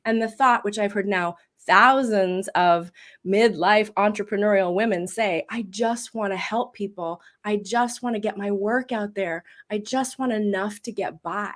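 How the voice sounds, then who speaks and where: A midlife woman with a big voice, telling her story as a voice coach in a casual interview, lively and laughing often. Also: mid-range voice, unhurried. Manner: expressive storyteller with bursts of laughter. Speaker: voice coach and guest.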